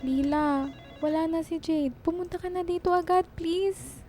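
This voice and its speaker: A woman's voice: very high-pitched, steady tone, unhurried, dark tone. A woman playing a distraught, grieving young woman in a radio drama, tearful and pleading. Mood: grief-stricken. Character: distraught young woman, grieving friend breaking tragic news. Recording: music behind the voice.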